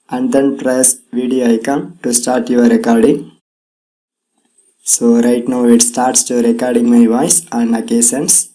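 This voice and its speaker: A man's voice: mid-range voice, mellow, rough recording. A man hosting a tech tutorial in scripted narration, with non-native English phrasing.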